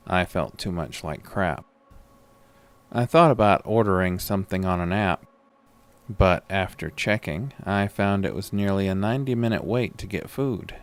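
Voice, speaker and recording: A man narrating a first-person horror story from a script. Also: low-pitched.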